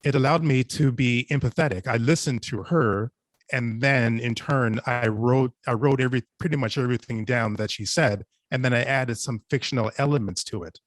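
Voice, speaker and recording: A man's voice: mid-range voice, moderate pace, dry recording. A man speaking earnestly and reflectively on a panel, often repeating and restarting his phrases as he goes. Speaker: podcast writer and panelist.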